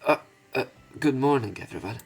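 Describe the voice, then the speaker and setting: A man's voice: mid-range voice, animated, fairly quick, gravelly, breathy edge. A man doing let's play commentary, reading game text aloud and adding informal, rambling, hesitant remarks. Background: soft game music.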